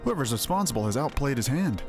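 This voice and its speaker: A man's voice: medium pitch, big pitch swings, fast. Man playing an earnest, commanding fantasy lord. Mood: resolute. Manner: formal, declamatory, resolute. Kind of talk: fantasy game dialogue read aloud. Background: game music.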